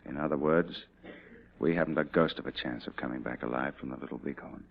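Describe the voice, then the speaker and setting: An actor playing a confident cavalry commander and devoted husband, in mid-century dramatic style. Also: male voice, rumbling low, quick pace, gravelly, slight background noise. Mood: grave, resigned. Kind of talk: mid-20th-century American dramatic acting. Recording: dull old radio-era drama recording.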